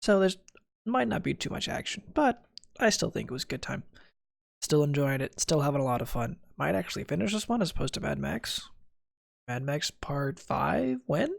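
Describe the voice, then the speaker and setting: A man's voice: fairly high pitch, very animated, normal speed, creaky. A man doing casual gameplay commentary as a streamer, swearing and muttering to himself in short fragments. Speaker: video game streamer.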